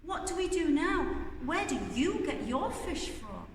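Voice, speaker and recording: Eager, high-voiced little children pleading and fussing in a storybook tale, as a woman reads them out. The voice rings around a large echoey hall. The mic sits far off, with faint noise underneath. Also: sing-song, a little slow, full character voice. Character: excited group of young children.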